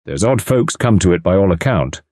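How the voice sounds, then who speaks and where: A man's voice: sits low, lively intonation, brisk, dark tone, dry recording, clean studio sound. A man voicing a plain-spoken rural garage mechanic who talks in a vague, folksy way.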